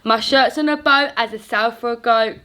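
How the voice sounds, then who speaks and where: A kindly, cheerful giant from a picture book, played by a woman. He has an unexpectedly high, bright voice and talks in an expressive, sing-song way. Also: moderate pace, lightly acted. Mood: proud, singing.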